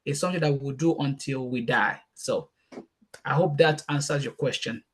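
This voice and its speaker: A man's voice: mid-range voice, slightly rough. A man from Nigeria with a Nigerian accent, whose first language is Yoruba, speaking as a guest on a leadership panel and talking passionately. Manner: passionate, fast and emphatic, repeating words when excited. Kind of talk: panel talk answering questions.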